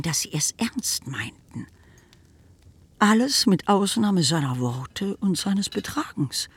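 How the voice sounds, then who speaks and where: A woman's voice: mid-range voice, husky. A woman narrating a literary radio play as scripted storytelling.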